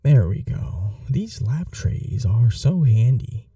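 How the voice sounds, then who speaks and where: A man playing a gentle, old-world vampire gentleman in an intimate ASMR roleplay, speaking in a polite, soothing, softly formal way. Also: mid-range voice, big pitch swings, takes it slowly, far from the mic, very noisy. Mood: caring, attentive.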